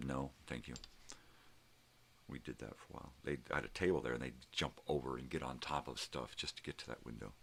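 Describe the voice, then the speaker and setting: A man's voice: very deep voice, a little fast, vocal fry, fairly bright tone. An older man with a folksy, rambling, salty way of talking, chatting casually as the host of a personal video log at home. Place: room at home. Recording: noticeable background noise.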